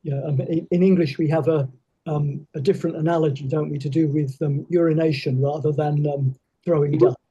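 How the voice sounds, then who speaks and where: Husky-voiced hesitant male student. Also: a little high, fairly even intonation, a little fast, warm tone, muffled recording. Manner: hesitant, thoughtful, many ums. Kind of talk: class discussion.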